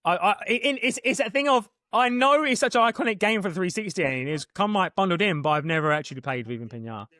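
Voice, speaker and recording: A man's voice: high-pitched, lively intonation, bright, forceful tone, no room sound, studio quality. A young man co-hosting a podcast, chatting casually and rambling with lots of restarts. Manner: rapid, rambling, many restarts. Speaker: podcast co-host. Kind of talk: casual chat.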